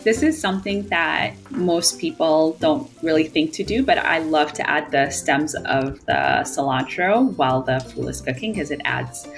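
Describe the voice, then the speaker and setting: Home cook from Ethiopia, thirties, lowish voice, heartfelt recipe narration over clear music. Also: female voice, moderate pace, hardly any pauses. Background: soft background music.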